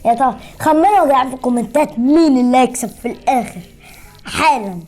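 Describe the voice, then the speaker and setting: A woman's voice: high-pitched, racing, darkish tone, faint music behind. A woman with an Egyptian Arabic accent giving casual gameplay commentary, teasing and boasting as a channel host.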